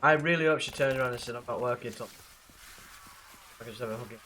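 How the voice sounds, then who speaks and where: A young man with a blocked nose and rough throat, chatting casually and swearing with viewers while playing a game. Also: medium pitch, fast talker, sharp tone, slight background noise. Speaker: livestreamer playing a zombie game.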